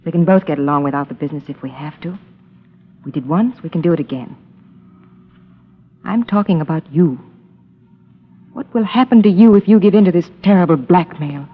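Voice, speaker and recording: An actress playing an earnest, devoted young American daughter in a vintage radio drama, pleading with dramatic intensity. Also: female voice, fairly low pitch, expressive, normal speed, long pauses. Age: young adult. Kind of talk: 1940s-50s radio drama acting. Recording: dull old radio recording with hall reverb.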